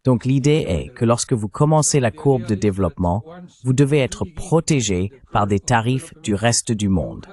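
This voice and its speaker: A man's voice: mid-range voice, expressive, normal speed, a little mellow. A man delivering a translated voice-over of an interview, explaining things as a commentator.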